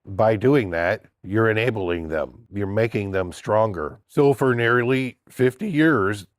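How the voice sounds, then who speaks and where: A man's voice: lowish voice, dry recording, studio quality. An older man with a stuffy, congested voice from a cold, giving a blunt, indignant opinion monologue in a casual vlog. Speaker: political vlogger.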